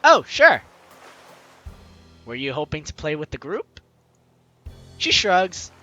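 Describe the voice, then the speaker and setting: A man with a high, very lively voice narrates a video game aloud as its host. His delivery is bright and forceful, slipping into playful, teasing commentary, with music playing underneath. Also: slow, highs cut off. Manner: playful, teasing, exclamatory, talks to the audience. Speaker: let's-play host. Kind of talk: reading game text aloud with excited commentary.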